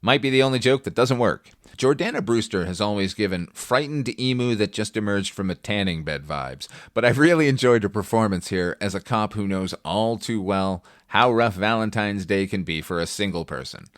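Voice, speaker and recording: A middle-aged man hosting a film review podcast, delivering scripted commentary with wry, deadpan humour. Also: on the low side, normal speed, no room sound, studio quality. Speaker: podcast host reviewing films.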